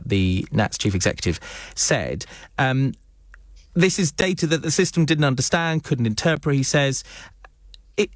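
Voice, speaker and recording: A man's voice: mid-range voice, dry recording. British male news presenter, dry close sound. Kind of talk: live news interviewing.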